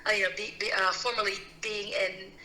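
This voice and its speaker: A woman's voice: mid-range voice, level tone, a little fast, sharp tone. A middle-aged woman from the Philippines, a guest telling her personal story in an earnest, casual way with many restarts. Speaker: guest, CEO and founder. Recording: roomy with faint noise.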